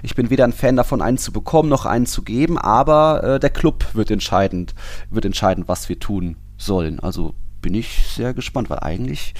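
A man's voice: fairly low pitch, a little fast, darkish tone, no room sound. A man explaining things in casual podcast conversation, in loose, rambling sentences. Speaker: podcast co-host.

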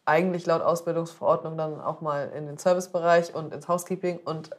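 Fast-talking female podcast host. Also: low-pitched, level tone. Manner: asks quick follow-up questions, explains background. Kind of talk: casual interview.

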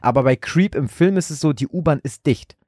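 A man's voice: fairly high pitch, brisk, a little mellow, dry recording, clean studio sound. A man chatting casually as a podcast co-host. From Germany. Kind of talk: casual chat.

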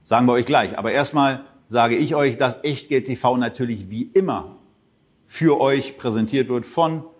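A man's voice: medium pitch, moderate pace. Chatty male podcast co-host. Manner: lively, joking welcome. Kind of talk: casual podcast hosting.

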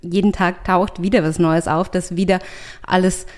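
An Austrian German woman talks as a podcast guest in a slightly low voice. She thinks aloud and reaches for her words as she answers. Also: steady tone, normal speed, darkish tone. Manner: thoughtful, searching for words, with restarts. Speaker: writer and artist, guest. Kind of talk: conversational interview answers.

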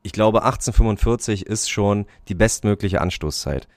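Guy with a lowish voice chatting about football, lots of ähms, dry close mic. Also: fairly quick, darkish tone, no echo. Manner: rambling, many fillers and restarts, laughs often. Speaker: podcast co-host. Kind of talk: casual chat about football.

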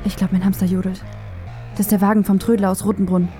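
A woman's voice: mid-range voice, little pitch movement, quick pace, dark tone, faint music behind, close to an everyday voice. A woman playing an indignant, accusing girl detective in a children's audio play read as a game. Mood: alarmed. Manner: exclamatory, accusing.

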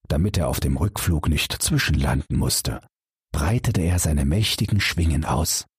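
Husky, low-voiced male storyteller. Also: no room sound, clean studio sound. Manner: calm, expressive storytelling. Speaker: audiobook narrator. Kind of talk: scripted fairy-tale reading.

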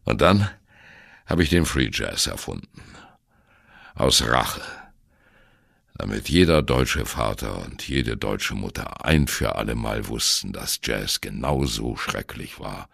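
A man playing a wry, embittered old German jazz drummer telling his life story in a radio play, with a gravelly, husky voice and a sardonic, conversational manner. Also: rumbling low, animated, slow, fairly bright tone, no room sound, clean studio sound, exaggerated voice. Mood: wry, defiant. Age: older. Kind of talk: dramatic first-person radio play monologue.

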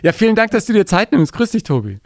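A man's voice: high voice, very animated, fast talker, vocal fry, no room sound, clean studio sound. A man hosting a podcast in Germany, introducing his guest and chatting casually, often tagging sentences with a questioning "ja?". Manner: chatty, enthusiastic, tags questions with "ja?". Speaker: podcast host. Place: home studio in Germany.

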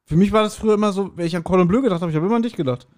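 A man's voice: high-pitched, rapid-fire, a little mellow. A man chatting casually and teasingly as a podcast co-host.

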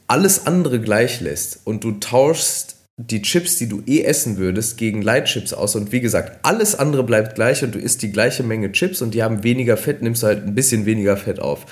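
A man, a nutrition expert co-hosting a podcast, explaining casually with many fillers, slightly hoarse from a cold. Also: fairly low pitch.

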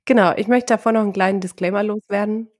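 A woman co-hosting a casual podcast while she has a cold, relaxed and friendly, laughing lightly. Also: medium pitch, quick pace. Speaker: podcast co-host. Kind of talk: casual chat.

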